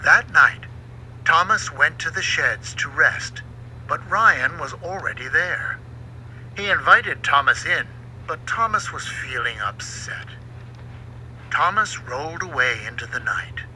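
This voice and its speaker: A man narrates a children's storybook in a very bright, forceful voice. His delivery is unhurried and dramatic, with big swings in pitch. There is faint noise behind him in what sounds like a home recording. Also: poor audio. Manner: expressive, dramatic read-aloud delivery. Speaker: book narrator.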